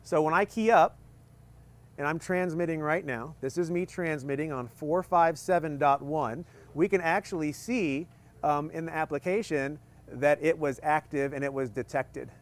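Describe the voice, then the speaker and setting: A man's voice: on the high side, moderate pace. A man in his thirties presenting a live equipment demonstration outdoors, explaining step by step in a casual, joking way with plenty of fillers. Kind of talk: live technical demo talk. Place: outdoor tent at a desert field demo.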